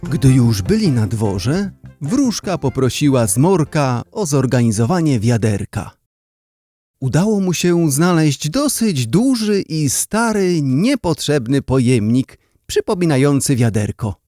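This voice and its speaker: A man's voice: mid-range voice, sing-song, unhurried, warm tone, no room sound, studio quality, faint music behind. A man narrating a scripted story for children, as a storyteller.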